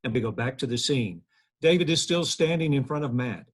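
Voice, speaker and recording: A man's voice: mid-range voice, lively intonation, fairly quick, noisy background. A man in his thirties narrating stage directions at a table read, from home over a video call. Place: living room, video call.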